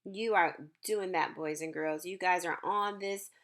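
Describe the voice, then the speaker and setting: A woman's voice: on the low side, fairly even intonation, a little slow, a bit bright. A woman reads a picture book aloud to young children and talks to them in an encouraging, reassuring way as a school counselor.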